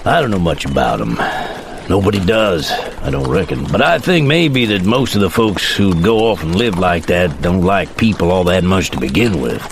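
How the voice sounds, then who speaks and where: An actor playing a middle-aged frontier father with an American Western drawl, laconic, folksy and measured, in a dramatized western audiobook. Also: sits low, big pitch swings, gravelly, noisy background, full character voice. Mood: reflective. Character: steady, plainspoken frontier father on horseback.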